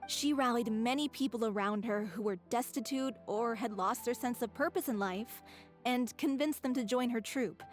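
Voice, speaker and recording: A woman's voice: fairly high pitch, normal speed, lightly acted. Wistful troupe actress, voiced by a woman. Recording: game soundtrack music behind.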